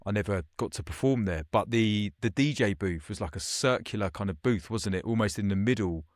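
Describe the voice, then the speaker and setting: A man's voice: lowish voice, fairly quick, no room sound, clean studio sound. A man hosting a podcast interview, chatty and slangy, asking leading questions of a fellow MC. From England.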